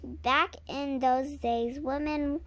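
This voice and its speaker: A woman's voice: high-pitched, steady tone, takes it slowly, heavy background noise. A young girl reading a tall tale aloud informally, stumbling over words, correcting herself and adding asides to viewers. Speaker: reader of a readers theater script. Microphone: handheld camera held by the reader.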